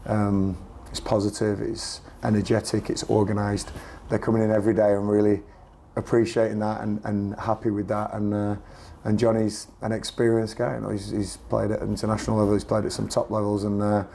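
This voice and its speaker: Brief: man, football manager post-match, gravelly and croaky, low mellow voice, flat delivery. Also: a little fast, a little hiss or hum. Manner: earnest, repeats himself while searching for words. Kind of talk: post-match press interview.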